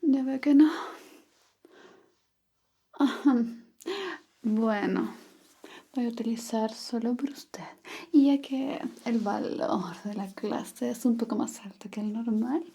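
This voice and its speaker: A woman whispering softly and playfully as a language tutor in an ASMR roleplay. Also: a little high, slow pace, slightly rough. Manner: soft whisper, playful teasing.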